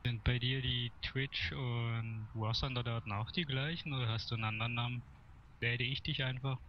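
A man's voice: medium pitch, steady tone, normal speed. A man chatting casually as a gamer over online voice chat. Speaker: gamer joining a squad. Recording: muffled voice chat with clear background noise.

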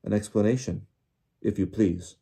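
A man's voice: lowish voice, normal speed, creaky, mellow, breathy edge. A man voicing a stern, officious wizard official in an audiobook, pompous and accusing as he interrogates. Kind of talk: audiobook character voice.

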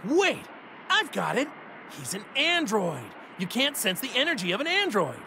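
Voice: mid-range voice, wildly swooping pitch, normal speed, vocal fry, noisy background. An actor voicing a cheerful, bold male martial-arts hero with an eager, boyish, straightforward delivery in an anime video game. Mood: excited realization. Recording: loud game music behind.

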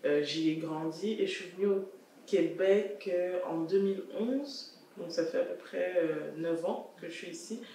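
A woman's voice: fairly low pitch, a little slow, warm tone, slight background noise. A woman in her twenties from Congo-Kinshasa, a student speaking plainly on a discussion panel. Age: 20s. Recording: echoey room, distant mic.

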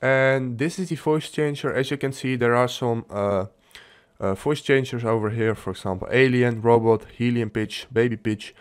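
A man narrating a casual software tutorial as its host, explaining step by step in a halting way. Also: medium pitch, clean tone.

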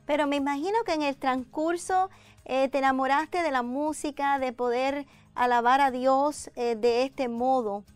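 A woman's voice: high-pitched, steady tone, unhurried, dry recording. A middle-aged woman hosting a conversational interview programme in a studio. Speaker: programme host. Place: indoor TV studio set with armchairs.

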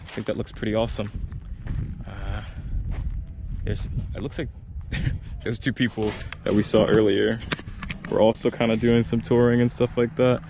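A man's voice: on the low side, flat delivery, moderate pace, long pauses. A man with an American accent chatting casually and informally as a vlog host outdoors. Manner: friendly, informal, talks with slang. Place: outdoors in the open. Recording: noisy outdoor recording with vehicle sounds.

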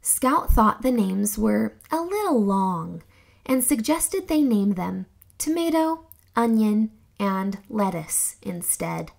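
Animated, unhurried young woman reading a storybook. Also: mid-range voice, vocal fry. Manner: theatrical, deliberate storytelling. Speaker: children's storybook reader. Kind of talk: expressive scripted read-aloud narration for children.

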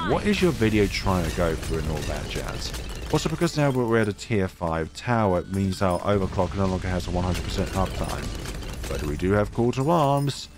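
A man of thirty with a deep voice and a cold, giving calm, rambling commentary over a game as its host. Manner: calm, rambling, chatty tangents. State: has a cold. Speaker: gaming channel host. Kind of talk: relaxed gameplay commentary. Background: game music.

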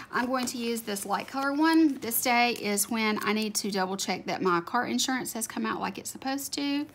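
A woman's voice: high-pitched, a bit bright. A woman with a slightly scratchy voice chatting casually while she decorates a planner at her desk, gushing over cute stickers. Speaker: planner video creator. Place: home desk, overhead camera on hands. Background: faint room ambience, a dog snoring.

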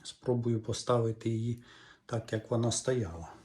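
Calm Ukrainian man with a mellow voice. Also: mid-range voice, moderate pace. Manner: calm, explanatory, musing aloud with hesitations. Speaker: repair vlogger. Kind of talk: hands-on repair walkthrough.

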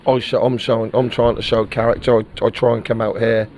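A man's voice: medium pitch, flat delivery, a little fast, a little mellow. A middle-aged man, a football manager giving a frank post-match interview and often repeating words as he searches for them.

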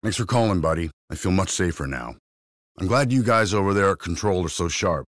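A man voicing a gruff, grumbling, sarcastic criminal in a video game. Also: low-pitched, animated, a little fast, no echo, clean studio sound. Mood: dry sarcasm. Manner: grumbling, sardonic. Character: gruff, sarcastic male criminal bluffing as guard. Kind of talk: game voice lines over radio.

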